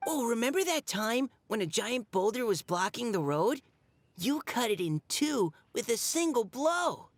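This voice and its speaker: A woman's voice: fairly high pitch, sing-song, unhurried. A woman voicing a modest, earnest teenage boy soldier in a video game, sincere, polite and a little hesitant.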